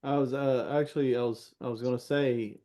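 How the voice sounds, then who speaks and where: A man co-hosting a podcast, speaking quietly in a quick aside. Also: mid-range voice, fairly even intonation. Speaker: podcast host. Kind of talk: casual chat.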